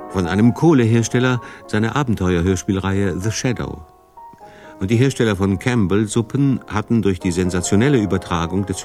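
A man reading scripted narration for a radio documentary. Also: sits low.

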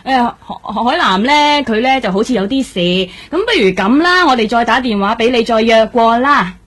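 Jittery, easily spooked woman, highish voice. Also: lively intonation, moderate pace, fairly bright tone, husky. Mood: flustered, hurried. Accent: Hong Kong. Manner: nervous, stammering, exclamatory. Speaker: voice actor in a radio drama. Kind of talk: Cantonese radio drama acting.

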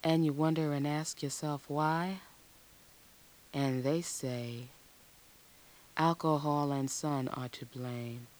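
A voice reciting literary lines deliberately in an art radio piece, repeating phrases. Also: medium pitch, fairly even intonation, a little hiss or hum, close to an everyday voice.

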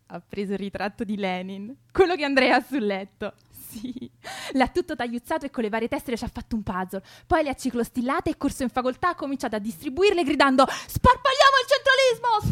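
A chatty young woman student in a radio drama. Her voice sits a little high. She is breezy, flippant and gossipy, teasing like an old friend in colloquial, natural speech. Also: fairly bright tone.

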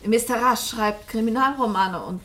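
An actress playing a polite, tactful woman novelist with a gently hesitant manner in a German radio play. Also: on the high side, normal speed, close to an everyday voice. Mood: polite, guarded.

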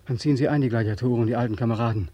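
Brief: male actor as haunted war returnee, 1940s radio drama, muted raspy tone, pleading, obsessive. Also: mid-range voice, racing, warm tone, no room sound, lightly acted. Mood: feverish. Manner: weary, pleading, obsessive, repeating himself. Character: weary, haunted ex-soldier back from war, insistent.

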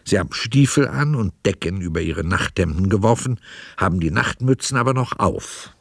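Low-voiced man narrating, dry studio sound. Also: animated, creaky, no room sound. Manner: measured, descriptive. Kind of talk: scripted radio drama narration.

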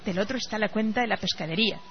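A woman's voice: medium pitch, rapid-fire, slight background noise, close to an everyday voice. A woman playing a chatty, gushing older housemaid and devoted fan in an Argentine radio play. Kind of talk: amateur radio play acting. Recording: dull lo-fi radio recording.

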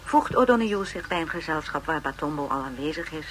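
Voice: mid-range voice, lively intonation, a bit bright, a little hiss or hum, natural voice. An actor in a radio play playing a pompous, erudite lecturer narrating an educational film in formal phrasing. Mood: pompous, lecturing. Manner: measured phrasing, pompous, donnish.

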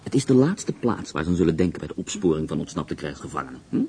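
A man's voice: very deep voice, very animated, fast, a little mellow, breathy edge, heavily acted. An actor playing a confident, scheming male prisoner of war on the run who talks in a persuasive, mocking way, in a radio play.

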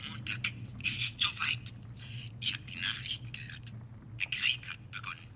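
An actor playing an urgent secret ally who whispers warnings, in a 1970s German radio drama. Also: male voice, moderate pace, bright, forceful tone, slight background noise. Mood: urgent, conspiratorial.

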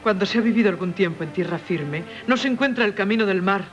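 An actress playing a passionate, yearning wife in a 1970s radio drama, fervent and theatrical, with a Castilian Spanish accent. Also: female voice, medium pitch, fast talker, soft background music, natural voice. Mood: contented. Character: passionate, yearning doctor's wife, torn then resolute. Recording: muffled, slightly noisy 1970s radio recording.

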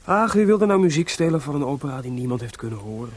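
An actor playing a playful, broke, impulsive young composer and husband in a Dutch radio drama, with a teasing, theatrical delivery. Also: male voice, mid-range voice, very animated, fast, natural voice. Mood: flippant, carefree. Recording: noisy old radio play recording.

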